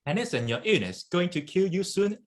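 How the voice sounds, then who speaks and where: A smug, lovestruck young partner in a stage play, acted by a woman. The voice is low and very animated, callous and teasing. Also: moderate pace. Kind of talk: reader's theatre acting.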